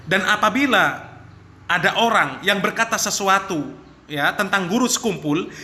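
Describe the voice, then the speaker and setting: An emphatic man preaching in a teacherly way, with a very bright, forceful voice pitched a little high. He repeats key phrases to press his point. The room around him echoes clearly. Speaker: Islamic preacher. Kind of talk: religious talk, rebutting criticism.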